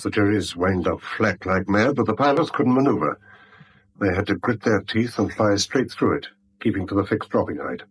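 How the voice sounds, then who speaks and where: An actor playing a principled, haunted war veteran in a British radio drama, grave, clipped and stubborn, with a British accent. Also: male voice, lowish voice, husky, low-quality recording. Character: principled, haunted male war veteran turned bank employee. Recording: vintage radio recording with faint hiss.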